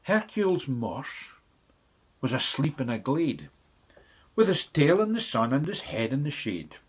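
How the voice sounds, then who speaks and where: A man's voice: mid-range voice, very animated, measured pace, slightly rough. A man reading a children's bedtime story in rhythmic verse with dramatic delivery.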